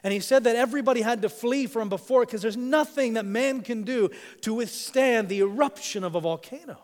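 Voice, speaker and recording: A man who grew up in East Africa, preaching a sermon, swinging between hushed and emphatic delivery with dramatic pauses. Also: very high-pitched. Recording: long hall reverb.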